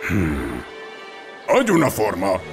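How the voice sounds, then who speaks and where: A man voicing a big beast in a comedic cartoon dub, halting then abruptly casual, with a Latin American accent. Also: low voice, wildly swooping pitch, exaggerated voice. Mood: tender, hesitant. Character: big hulking male beast, gentle suitor turned awkward and blunt. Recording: music bed under the voice.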